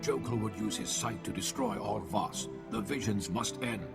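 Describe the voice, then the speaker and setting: A man voicing a stern, terse alien leader in a video game, speaking formally in clipped, grave sentences with a gravelly voice. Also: on the low side, moderate pace. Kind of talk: video game cutscene acting. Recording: loud music under the voice.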